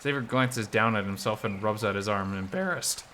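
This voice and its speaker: A man's voice: on the low side, normal speed, a bit bright, slight background noise. A man narrating a visual novel aloud in the first person, reading expressively.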